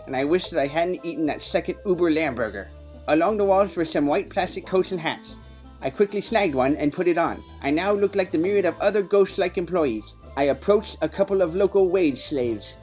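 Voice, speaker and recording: A man's voice: fairly high pitch, a little mellow, lightly acted. A man playing a dry, inquisitive private detective in a noir audio play. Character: wry male private detective undercover in a slaughterhouse.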